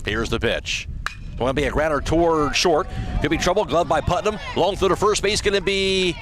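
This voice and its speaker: A man's voice: a little high, moderate pace. An American man doing live play-by-play of a baseball game as a radio announcer. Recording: outdoor ballpark broadcast with clear background noise.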